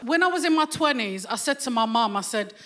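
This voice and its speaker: A woman's voice: on the high side, expressive. Brash woman doing stand-up. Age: 40s. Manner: brash, cheeky, quick street slang. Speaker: stand-up comedian. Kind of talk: stand-up set. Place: theatre stage with live audience. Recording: loud audience laughter and noise.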